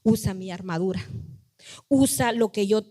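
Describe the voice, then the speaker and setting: A woman's voice: medium pitch, measured pace, warm tone, voice pushed down, natural voice. A woman voicing a resigned king lending his armor. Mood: offering armor. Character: male king, resigned but helpful.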